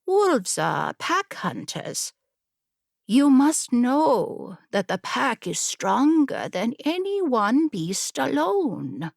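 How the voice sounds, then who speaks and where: A woman's voice: on the high side, huge pitch swings, extremely slow, creaky, no echo, studio quality, exaggerated voice. A woman voicing a wise female unicorn in an audiobook fable, patient and instructive. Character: wise, calm female unicorn counselling a wolf.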